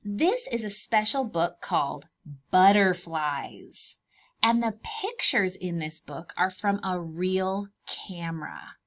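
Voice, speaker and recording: Lady preschool teacher doing a read-aloud for little kids, really up and down voice, takes it slow, simple words and questions. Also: fairly low pitch, animated. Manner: simple child-directed talk, asking questions and drawing out key words. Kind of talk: read-aloud to young children with simple explanations.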